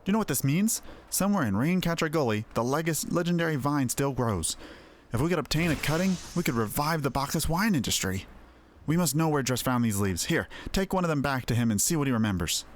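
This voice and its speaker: A man voicing an earnest, thoughtful winemaker in fantasy game dialogue, with an expressive, emphatic delivery. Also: on the high side, brisk, slight background noise, lightly acted. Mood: excited, urgent. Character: earnest male winemaker, scarred, thoughtful, excitable about vines. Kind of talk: game quest dialogue read aloud. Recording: music under the voice.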